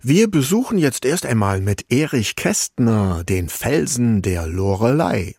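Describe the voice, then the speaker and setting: A man's voice: mid-range voice, very animated, a little slow, a little mellow. A man presenting a radio music programme in a scripted, narrating style. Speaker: radio music show host.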